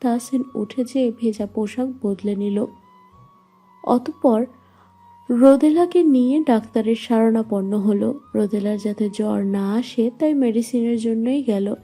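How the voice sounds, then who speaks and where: A woman's voice: on the high side, measured pace, dark tone. A woman narrating a scripted romantic story. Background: faint music.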